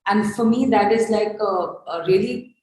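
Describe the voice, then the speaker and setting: A very echoey room carries the voice of a woman with a medium pitch. She moderates a discussion without a script. Her phrasing is thoughtful and halting. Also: darkish tone. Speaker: host of a post-performance discussion. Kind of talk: unscripted spoken reflection at an arts event. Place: reverberant indoor event space.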